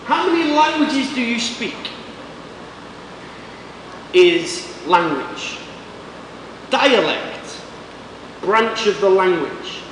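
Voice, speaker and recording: A man's voice: high voice, big pitch swings, drawn-out words, pauses often, distant mic. A man with a Manchester accent teaching English to a class, speaking in short, simple sentences and quizzing students. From England. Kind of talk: classroom lecture. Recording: echoey classroom recorded from afar with background hiss.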